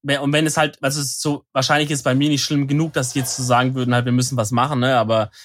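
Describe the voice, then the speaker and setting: A man's voice: mid-range voice, flat delivery, very fast, a bit bright. A young man co-hosting a podcast, slightly nasal from a cold, telling rambling stories full of slang and fillers. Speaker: podcast co-host. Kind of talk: casual chat.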